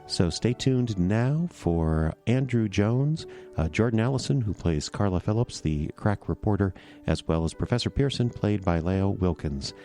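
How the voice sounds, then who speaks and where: Rich: A middle-aged man introduces his radio theater show in a relaxed, casual way, pausing on fillers as he goes. His voice is very low and mellow. Faint music sits underneath.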